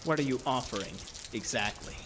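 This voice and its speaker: A man's voice: medium pitch, animated, brisk, background noise, lightly acted. A man playing a troubled, suspicious and stubborn sculptor in a horror audio drama, with a terse, confrontational manner. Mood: wary.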